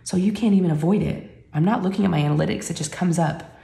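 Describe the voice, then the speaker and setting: Woman vlogger, hoarse from illness, deep scratchy voice, mellow, echoey room. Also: quick pace. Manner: chatty, rambling, folksy. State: hoarse and sick, catching her breath. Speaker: YouTube creator. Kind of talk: casual chat to viewers. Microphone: camera mic.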